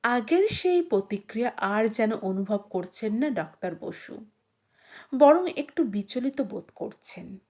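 A woman with a fairly high voice reads a literary story aloud as an audiobook narrator. Her pace is very slow and measured, with an expressive storyteller's delivery.

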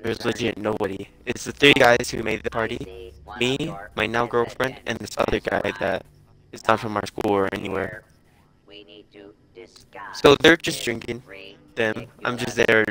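A young man with a creaky, fry-laden voice tells a casual, rambling story. He circles back and corrects himself often. It sounds like game voice chat, with faint noise and game ambience behind him. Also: mid-range voice, a bit bright, low-quality recording. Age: young adult. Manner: halting, rambling, filler-heavy with self-corrections. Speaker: game streamer telling a story. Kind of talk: casual storytelling over gameplay.

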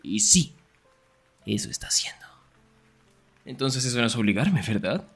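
A man acting a young, sarcastic and jealous best friend in an ASMR roleplay, teasing in a breathy voice that often drops toward a whisper. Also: medium pitch, sing-song, moderate pace, faint music behind. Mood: sarcastic, exasperated.

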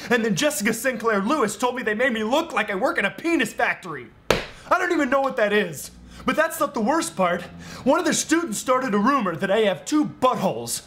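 A man's voice: very high voice, fairly bright tone, voice pushed up. A man in his thirties playing a miserable, indignant schoolteacher venting in a comedy monologue, exasperated and aggrieved. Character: miserable, indignant male seventh-grade teacher, humiliated by students. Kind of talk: sketch comedy character monologue. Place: living room indoors.

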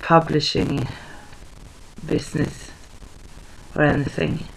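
A woman with a slow, flat, gravelly voice. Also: sits low, slight background noise, poor audio. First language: Swedish. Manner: hesitant, halting, many fillers and restarts. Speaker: vlogger. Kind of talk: casual talk to camera. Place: small living room at home, indoors. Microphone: webcam at desk distance.

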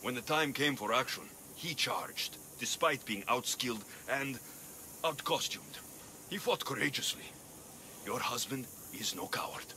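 A dry-witted Greek mercenary. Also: male voice, mid-range voice, animated, measured pace, bright, forceful tone, slight background noise. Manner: dry, sardonic. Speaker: player character. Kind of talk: video game dialogue.

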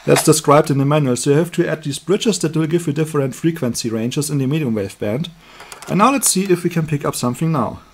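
A man with a slightly husky voice giving an unscripted hands-on electronics demonstration, explaining step by step as the presenter. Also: on the high side, quick pace, a little hiss or hum. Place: indoors at a table.

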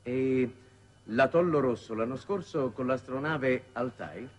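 An actor playing a shrewd, weary middle-aged statesman in a 1960s Italian television drama, speaking in formal, measured phrases. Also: medium pitch, lightly acted. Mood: probing, ironic. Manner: measured, formal, deliberate oratory. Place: television studio set. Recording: noisy old 1960s television soundtrack.

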